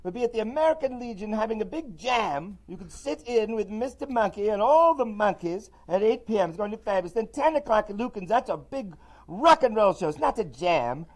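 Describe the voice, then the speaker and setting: A high-pitched, fussy male monkey who manages a band and brags about limos and big decisions, as a man puts him on. He dodges every question with polished politeness. The voice sits well above a normal male register and stays loud and lively. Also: fairly quick. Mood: chatty. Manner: boastful, evasive, overly polite. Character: shady smooth-talking male monkey manager. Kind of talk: comic character bit on radio. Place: radio studio.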